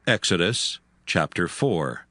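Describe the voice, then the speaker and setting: Expressive low-voiced male Bible narrator. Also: takes it slowly, background noise. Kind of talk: dramatic scripted Bible narration.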